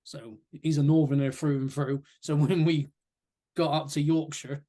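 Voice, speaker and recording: A man with a somewhat higher-pitched voice, from southwest England, hosting a relaxed interview. He talks in a chatty, jokey way and enjoys banter. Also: moderate pace. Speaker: interview host, musician. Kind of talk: casual interview chat.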